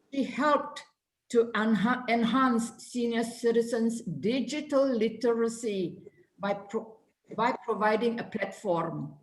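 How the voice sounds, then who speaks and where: A woman introduces a guest speaker at a club meeting over a video call. She speaks very slowly and carefully, with a fairly high voice and a few restarts. Her voice echoes around the room. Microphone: video call microphone.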